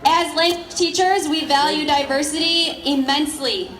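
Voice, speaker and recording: A woman teacher giving warm, encouraging words to her students at an outdoor protest. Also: high voice, fairly even intonation, moderate pace. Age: adult. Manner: warm, motherly, addresses the students directly. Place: outdoor school protest crowd. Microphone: phone camera in the crowd. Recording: echoey phone recording with a crowd.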